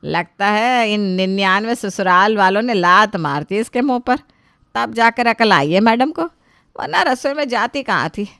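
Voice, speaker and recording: A woman's voice: mid-range voice, expressive, a little slow, fairly bright tone, clear, resonant tone, no echo, clean studio sound. A woman voicing a sarcastic, grumbling middle-aged mother-in-law in a family story.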